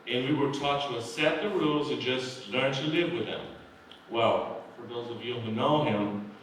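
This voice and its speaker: A man's voice: mid-range voice, fairly bright tone, far from the mic, slight background noise. Man giving a speech in an echoey hall. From Brazil. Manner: earnest, heartfelt, measured. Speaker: award honoree. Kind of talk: acceptance speech. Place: large hall. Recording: very echoey hall, mic from afar.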